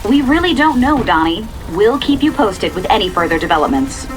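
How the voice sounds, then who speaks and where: An actress playing a polished, earnest American television reporter giving a live report in a video game, in crisp broadcast delivery. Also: female voice, medium pitch, big pitch swings, brisk, natural voice. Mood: urgent, polished. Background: music.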